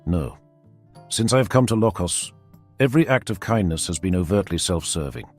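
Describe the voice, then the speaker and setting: A stern warrior-genius, as a male narrator plays him, deep-voiced, blunt and direct, rising with force when he argues. Faint music plays under this fantasy audiobook. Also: animated, normal speed. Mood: measured.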